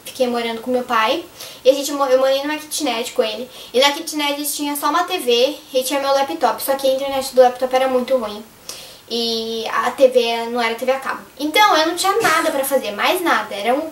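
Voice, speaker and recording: A young Brazilian woman talks straight to her viewers in a loose, rambling vlog. Her voice is high and a little hoarse. She sits back from the mic, and the echoey room comes through around her. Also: distant mic. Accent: Brazilian Portuguese. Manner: chatty, rambling, addresses viewers directly. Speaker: vlogger. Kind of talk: casual advice vlog.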